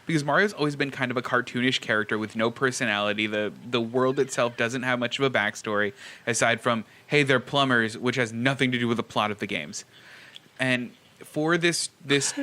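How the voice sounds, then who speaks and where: A man with a bright, crisp-edged voice of medium pitch talks casually as a podcast co-host. His delivery is animated and wry as he gives his opinions on films. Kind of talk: casual film discussion.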